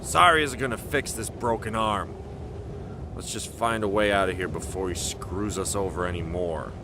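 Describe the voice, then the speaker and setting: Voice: moderate pace, natural voice. An actor playing a bitter, blaming young male in an amateur script read, grumbling with exasperation. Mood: grudging. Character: bitter, blaming, sharp-tongued young male named Norrin. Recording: noisy home microphone with rumbling hiss.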